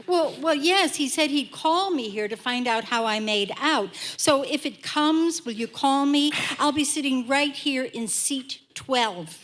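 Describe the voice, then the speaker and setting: High-voiced scatterbrained radio-comedy housewife. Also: female voice, lively intonation, a little slow, few pauses, a bit bright. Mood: insistent, oblivious. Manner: naive, obliviously chatty, muddles words. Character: scatterbrained, chatty housewife serving as a juror. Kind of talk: old-time radio comedy acting.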